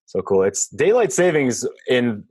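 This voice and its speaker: A man's voice: medium pitch, very animated, a little slow, darkish tone, breathy edge, no echo. A man hosting a call-in show, chatting with a caller and giving short, friendly replies. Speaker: host. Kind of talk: casual chat with a caller.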